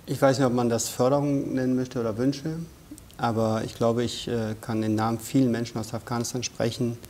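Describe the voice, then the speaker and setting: A man's voice: medium pitch, little pitch movement, fairly quick, dark tone, a little hiss or hum. A man in his thirties, a guest in a studio interview, speaking in an earnest, emphatic way. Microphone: lapel.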